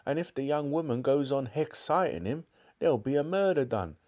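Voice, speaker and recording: A man's voice: a little high, lively intonation, moderate pace, darkish tone, pitched above the performer's own voice, close to an everyday voice. A man voicing a red-faced Cockney cab driver in a storybook reading. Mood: earnest.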